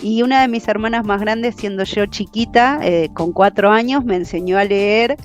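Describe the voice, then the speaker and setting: A woman from Argentina with a Buenos Aires accent, a coaching trainer telling her story in an interview, talkative and quick to laugh. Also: medium pitch, soft background music. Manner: talkative, laughs often, self-correcting.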